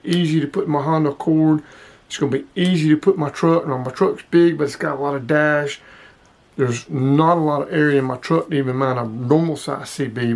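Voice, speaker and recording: A man with a fairly high voice talks through a hands-on gear review. He is informal and plain-spoken, explaining things casually as the host. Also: creaky.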